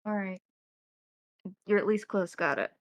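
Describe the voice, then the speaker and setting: A woman voicing a gruff, blunt male police colleague on a phone call in a tabletop roleplaying game. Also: medium pitch, lightly acted. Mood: guarded, helpful. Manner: swearing, blunt, halting cop talk.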